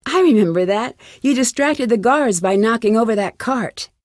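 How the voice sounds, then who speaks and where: A woman's voice: fairly high pitch, lively intonation, moderate pace, no echo, clean studio sound, natural voice. A woman playing a young American detective in a video game, inquisitive, determined and guarded, speaking in a direct, conversational way. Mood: casual, reflective. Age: young adult. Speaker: video game lead character. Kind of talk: scripted game dialogue.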